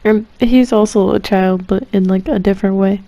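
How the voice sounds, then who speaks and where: A woman's voice: mid-range voice, fairly even intonation, normal speed, warm tone. A woman streaming a game, reacting casually with slangy, playful and dramatic asides.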